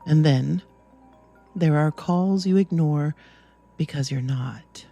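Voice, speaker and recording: A podcast host narrates a scripted story in a mellow, relaxed voice. The delivery is slow, measured and reflective, with music underneath. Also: mid-range voice.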